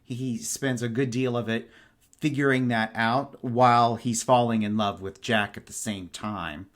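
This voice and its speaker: A man in a casual book discussion, explaining his thoughts in a measured way as a podcast panelist. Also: medium pitch, fairly even intonation, fairly bright tone.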